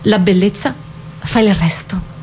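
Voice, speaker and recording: A woman's voice: mid-range voice, measured pace, darkish tone, a little hiss or hum, lightly acted. A woman performing a poetic theatrical confession as an exalted, tormented woman, with intense declamatory delivery. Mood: anguished. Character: exalted, anguished woman confessing torment over beauty and body.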